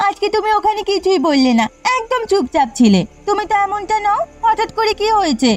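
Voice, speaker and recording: A woman's voice: extremely high pitch, very animated, a little fast. A woman voicing a nagging, prying adult village wife in a cartoon. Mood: pressing. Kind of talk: cartoon dub acting.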